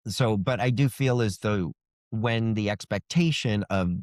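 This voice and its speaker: Low-voiced man, casual author interview. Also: a little slow, no room sound, clean studio sound.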